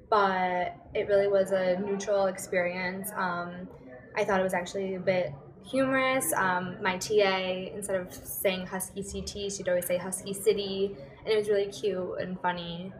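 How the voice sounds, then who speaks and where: A woman in her twenties from Connecticut, relaxed and amused, answering interview questions with ums. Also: mid-range voice, unhurried. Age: 20s. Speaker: student interviewee.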